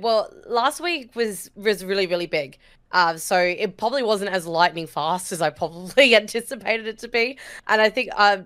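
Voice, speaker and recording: A woman's voice: fairly low pitch, a bit bright, clean tone, no echo. A woman co-hosting a weekly gaming show, running through game releases in an easygoing chat. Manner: easygoing, laughs often, fillers. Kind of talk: casual chat and news rundown.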